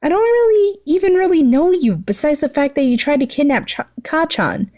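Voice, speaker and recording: Girl doing a shy teen hero boy, higher voice, stammery n polite, story reading. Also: expressive, moderate pace, a little mellow. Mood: nervous. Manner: hesitant, stammering.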